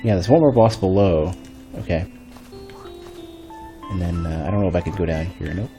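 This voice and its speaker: A male streamer with a deep, bass-heavy voice, a bit stuffy, chatting casually and thinking aloud while he plays. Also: normal speed, over music. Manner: rambling, thinking aloud with restarts. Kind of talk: casual gameplay commentary. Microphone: desk microphone.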